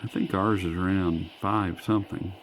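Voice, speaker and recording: A man's voice: deep voice, fairly even intonation, normal speed, low-quality recording. An American man giving a casual, hands-on product review in an enthusiastic, folksy way, recorded indoors. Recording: faint background noise, indoor room.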